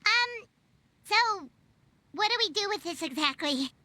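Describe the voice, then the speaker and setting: Squeaky, extremely high little fairy. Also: female voice, expressive, unhurried, sharp tone, no echo, heavily acted. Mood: curious, puzzled. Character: tiny childlike fairy companion, curious and perky. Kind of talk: video game voice acting.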